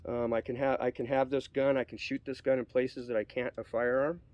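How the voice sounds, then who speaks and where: A man's voice: mid-range voice, little pitch movement, fairly quick, darkish tone, dry recording, muffled recording. A man with a cold, sniffly, giving a rambling casual review of an air rifle outdoors, with many ums and restarts. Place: outdoors at a shooting spot.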